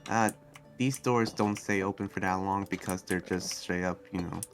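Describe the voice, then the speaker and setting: A man's voice: deep voice, steady tone, normal speed. A man playing an earnest, protective demon fighter in an improvised anime game roleplay, talking in a hesitant, rambling way. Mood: confiding. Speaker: roleplay series player.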